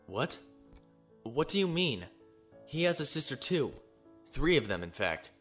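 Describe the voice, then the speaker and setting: A man's voice: mid-range voice, sing-song, unhurried, fairly bright tone, faint music behind. A man playing a conflicted, honorable young naval officer in a sci-fi audio drama, earnest and brooding. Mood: startled, defensive.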